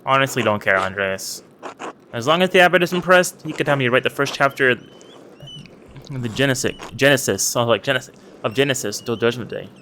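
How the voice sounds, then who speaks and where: A man reading a homesick young scribe monk in a narrative game, answering flatly as if little matters to him. The voice sits at a middling pitch but still moves about a lot. A clear background hiss runs under it. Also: fairly quick.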